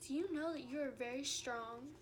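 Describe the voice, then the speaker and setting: A woman's voice: high-pitched, moderate pace, noisy background, close to an everyday voice. A woman voicing an anxious, pleading furry male monster in a children's book reading. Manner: frantic pleading storybook reading. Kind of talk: children's book read-aloud.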